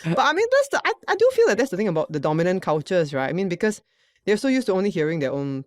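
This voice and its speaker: Singaporean actress type, animated delivery, close dry studio mic, casual chat. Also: female voice, lowish voice, very fast, no echo. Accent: Singaporean English. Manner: lively, laughs often, uses "lah" and "lor". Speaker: podcast guest, performer. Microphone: studio microphone.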